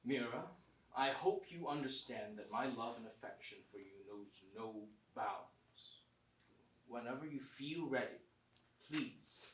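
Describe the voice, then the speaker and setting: A man playing a placating, strained husband in a staged reading of a play, speaking in a halting, earnest, pleading way. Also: mid-range voice, a bit bright. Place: small theatre, live stage performance. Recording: echoey, distant, noisy theatre recording.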